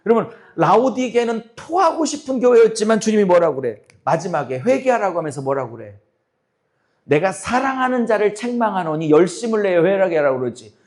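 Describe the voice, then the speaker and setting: A middle-aged male pastor with a high-pitched voice, preaching to his congregation. His voice has gone hoarse and cracks from long talking. He keeps throwing questions at the listeners and talks in a blunt, forceful way. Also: sing-song, a little fast. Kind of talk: sermon.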